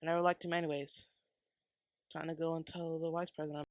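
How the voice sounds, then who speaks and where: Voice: mid-range voice, little pitch movement, fairly quick, dry recording, natural voice. An actor playing a stern, confrontational armed patriot who accuses a president in an amateur audio drama. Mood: cold contempt.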